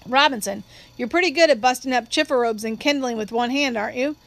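A woman's voice: on the high side, quick pace, creaky, fairly bright tone. A woman voicing a contemptuous male prosecutor in an audiobook, with condescending, insinuating questions. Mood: needling, scornful.

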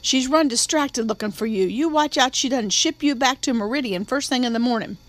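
Brief: stern housekeeper, voiced by a woman, high and sharp, scolding the children. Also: a little fast, lightly acted. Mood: commanding. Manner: scolding, indignant.

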